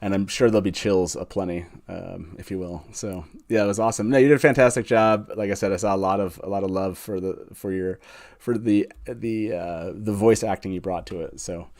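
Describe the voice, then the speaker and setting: A man's voice: deep voice, fairly quick, a little mellow. A man with an informal, enthusiastic manner hosting a casual fan livestream chat over a video call. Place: live online video call.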